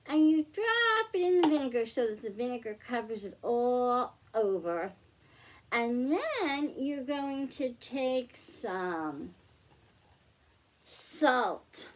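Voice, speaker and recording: A woman's voice: fairly high pitch, sing-song, slow pace. A woman hosting a children's science storytime, explaining a hands-on demonstration step by step in simple, patient phrasing. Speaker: children's librarian, storytime host.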